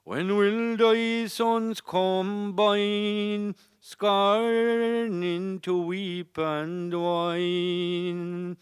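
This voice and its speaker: A woman giving a solemn, drawn-out, mournful rendition of an old lyrical lament. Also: medium pitch, little pitch movement, clean tone, dry recording, studio quality.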